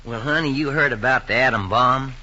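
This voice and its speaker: A man's voice: mid-range voice, a little fast, bright, forceful tone. A man playing a folksy, gullible farmer father with a Southern American accent, eager and coaxing, in old-time radio drama style. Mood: eager, boastful. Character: gullible, hopeful farmer father chasing get-rich schemes. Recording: dull, noisy old radio recording.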